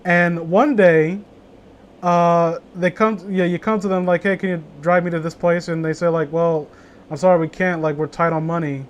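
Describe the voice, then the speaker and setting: A man's voice: fairly high pitch, fairly even intonation, moderate pace, a little hiss or hum. A man chatting casually as a streamer, rambling and opinionated, with plenty of swearing. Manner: rambling, profanity-laden, opinionated. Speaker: streamer hosting a group story reading. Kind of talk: casual chat.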